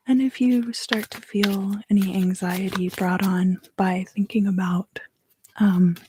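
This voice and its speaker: A woman softly whispering an ASMR tarot reading as a livestream host, soothing and unhurried, in a roomy recording. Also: mid-range voice.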